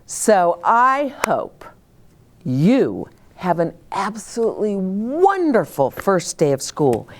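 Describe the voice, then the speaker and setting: Older woman, slow and very expressive. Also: medium pitch, a little hiss or hum. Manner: simple, expressive, addressing children directly, with dramatic pauses. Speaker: children's story time host. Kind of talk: storytelling and advice to young children.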